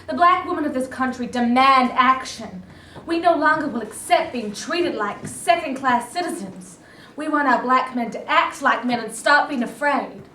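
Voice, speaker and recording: A woman playing an impatient, militant activist in a staged reading, speaking in forceful, insistent rallying phrases. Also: high-pitched, normal speed. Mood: defiant urgency. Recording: echoey room, distant mic, faint noise.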